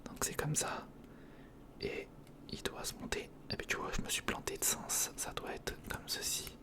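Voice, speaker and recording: A man whispering in a breathy, unvoiced ASMR style, giving informal and slightly hesitant build-along commentary close to the microphone. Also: normal speed. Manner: hesitant, informal, muttering to the listener. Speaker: ASMR video host. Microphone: close recorder microphone.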